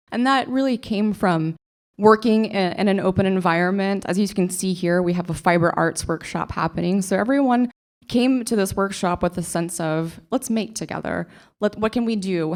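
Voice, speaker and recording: A woman's voice: fairly low pitch, gravelly, darkish tone. A young woman from Oklahoma, a librarian giving a short conference presentation, talking earnestly and enthusiastically with small restarts. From Oklahoma, USA. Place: conference stage.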